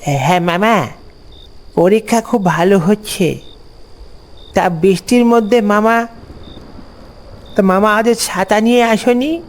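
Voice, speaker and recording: A performer voicing a respectful, easily startled schoolboy nephew in an animated horror story. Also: medium pitch, slow, long pauses, lightly acted. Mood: chatty, respectful.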